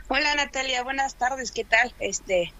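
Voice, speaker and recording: A woman whose first language is Triqui Alta, a guest on a radio show speaking in a measured, explanatory way over the phone. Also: high-pitched, sharp tone. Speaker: radio guest from a sister station. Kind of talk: phone-in radio talk and spoken message. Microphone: telephone.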